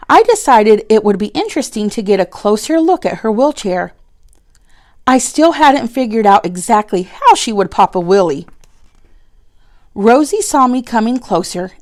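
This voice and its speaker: A woman narrating a children's storybook aloud, with expressive, deliberate phrasing for young listeners. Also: mid-range voice. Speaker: read-aloud storyteller reading a children's book.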